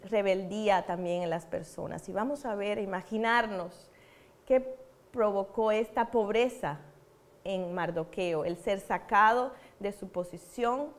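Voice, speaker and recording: Woman, Cuban accent, sermon with personal stories, earnest, repeats for emphasis. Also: medium pitch. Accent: Cuban Spanish. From Cuba. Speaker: preacher. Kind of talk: church teaching with personal testimony.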